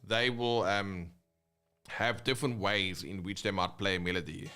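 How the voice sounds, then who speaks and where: A man with a South African accent teaching guitar techniques, explaining step by step in a relaxed way between demonstrations. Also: low-pitched, normal speed. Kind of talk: instructional talk with guitar demonstrations. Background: his own guitar playing between phrases.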